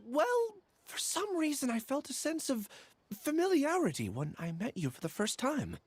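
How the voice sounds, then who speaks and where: A woman playing an awkward, talkative character in a video game, speaking politely with expressive hesitations. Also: high voice, moderate pace. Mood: wistful, hesitant.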